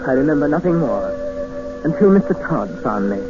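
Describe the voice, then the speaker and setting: An actor with an English accent narrating and playing a polite, reserved gentleman castaway in a 1950s radio drama. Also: on the high side, very animated, fairly quick. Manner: polite, understated, reflective narration. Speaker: narrator and lead character. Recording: muffled 1950s radio broadcast with music score.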